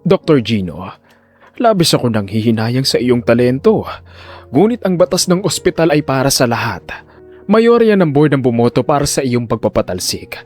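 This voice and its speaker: A man playing a falsely courteous hospital director in an audio drama, formal and soft-spoken, feigning regret. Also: medium pitch, very animated, moderate pace, soft background music. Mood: smug. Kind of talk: Filipino audio drama acting.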